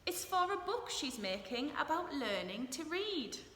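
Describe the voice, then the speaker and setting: A woman voicing a small, lively, helpful bee in a children's storybook, reassuring and emphatic. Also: high-pitched, measured pace, pitched above the performer's own voice, exaggerated voice. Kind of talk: children's storybook read-aloud. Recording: echoey room with noise.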